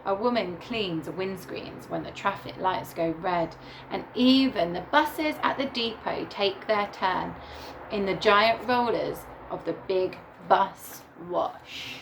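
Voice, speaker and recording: Female primary teacher, mid-pitched, slow and expressive children's read-aloud, faint noise behind. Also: creaky, rough recording. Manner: deliberate, animated storytelling with emphasised words. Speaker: primary school teacher reading to her class.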